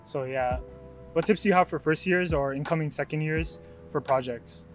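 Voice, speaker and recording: A man's voice: a little high, normal speed, soft background music. A young man hosting a casual interview, chatting informally as he asks questions. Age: young adult. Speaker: interviewer and video host.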